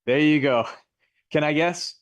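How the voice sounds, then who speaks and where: A man's voice: fairly high pitch, measured pace, no room sound. Talkative guy teaching a real estate class, upbeat, super dry mic sound. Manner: upbeat, chatty, asks students questions. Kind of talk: live class teaching and chat.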